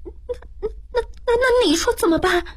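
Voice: very high voice, normal speed, pitched above the performer's own voice. A narrator voicing an earnest, fretful young maidservant in a plaintive way for an audiobook. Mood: worried.

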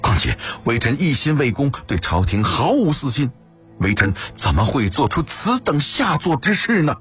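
A man's voice: medium pitch, very animated, moderate pace, fairly bright tone, husky, faint music behind, voice pushed up, full character voice. A man playing an earnest, upright official in an audio story, speaking formally and firmly. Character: earnest, upright male official defending his sister.

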